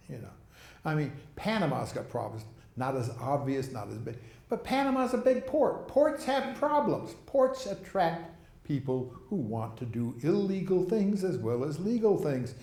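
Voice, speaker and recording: A man's voice: a little high, vocal fry, darkish tone, reverberant. A man giving a rambling talk on foreign policy, with chuckling asides, answering audience questions. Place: lecture hall.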